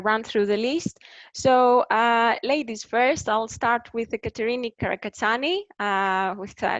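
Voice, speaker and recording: A woman's voice: on the high side, no room sound. A woman in her thirties with a Greek accent, moderating an online book launch, hesitant with many fillers. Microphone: video call. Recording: dull lo-fi video call audio.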